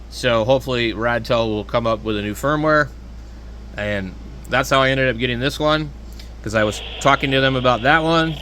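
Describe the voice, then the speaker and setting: A man giving casual, rambling, unscripted product talk as a video host, with a hesitant, halting delivery. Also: medium pitch, fairly bright tone, slight background noise. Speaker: hobbyist video host reviewing handheld radios.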